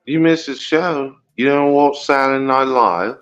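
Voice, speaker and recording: A man's voice: mid-range voice, measured pace, fairly bright tone, smooth. A man bantering casually in a playful, teasing, mock-indignant manner. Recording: slightly dull audio with faint music.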